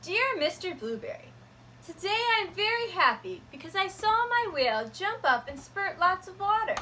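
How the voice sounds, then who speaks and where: A woman teacher reading a picture book aloud to her students, gentle and expressive. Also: very high-pitched, moderate pace, a little hiss or hum, poor audio.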